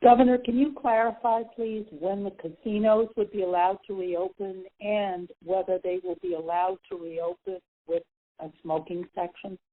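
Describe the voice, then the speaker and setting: A woman's voice: mid-range voice, lively intonation, normal speed, creaky. A woman reporter putting a question to officials on a press conference call. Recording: noisy, tinny phone line with clicks.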